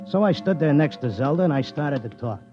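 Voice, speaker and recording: A man's voice: on the high side, lively intonation, brisk, close to an everyday voice. An actor playing a stubborn, slangy racetrack tipster devoted to his wife, in 1950s radio drama style. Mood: wistful, narrating. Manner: slangy, wisecracking, insistent. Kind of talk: 1950s radio drama acting with first-person narration. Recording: dull vintage 1950s radio, music behind.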